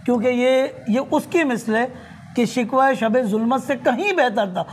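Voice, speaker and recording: High-voiced man, deferential and eager. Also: normal speed, a little hiss or hum. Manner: deferential, eager, often addresses the teacher respectfully. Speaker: student in discussion with his teacher. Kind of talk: respectful religious conversation.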